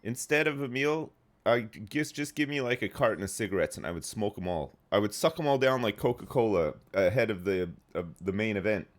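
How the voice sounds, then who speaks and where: A man's voice: on the low side, animated, fairly quick. A man in his thirties streaming a game live, phlegmy and rambling as he answers chat. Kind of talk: casual live gameplay chat.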